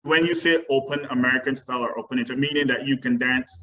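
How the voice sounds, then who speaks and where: A man's voice: mid-range voice, quick pace, pushed and bright. A young man, a guest dance teacher, answering webinar questions earnestly with frequent ums. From Barbados. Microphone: video call. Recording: dull distant video-call audio with faint noise.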